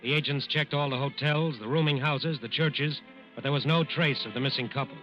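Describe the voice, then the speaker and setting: An actor as an authoritative crime-drama narrator, emphatic and declamatory in 1940s radio style. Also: male voice, medium pitch, fairly even intonation, brisk, lightly acted. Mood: urgent, grave. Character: urgent, authoritative male crime-drama announcer. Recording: muffled old-time radio recording. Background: music.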